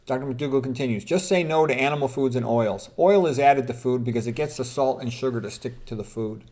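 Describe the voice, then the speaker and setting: A man's voice: medium pitch, fairly quick, darkish tone. A man presenting as a physician, reading quotes aloud in an informal health lecture and adding emphatic, opinionated comments, repeating points for stress.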